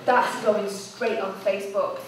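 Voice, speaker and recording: A woman's voice: mid-range voice, fairly even intonation, measured pace, natural voice. A woman playing a teasing, easily enchanted girlfriend in a live dramatic story performance. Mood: playful. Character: Rebecca, teasing girlfriend enchanted by a wild crow. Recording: distant, very echoey hall, faint noise.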